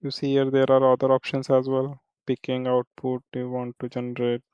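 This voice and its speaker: Man, software trainer, smooth clear voice, little pitch movement, halting step-by-step walkthrough, frequent check-in tags. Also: monotone, no room sound, lo-fi sound. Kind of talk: live screen-share software walkthrough.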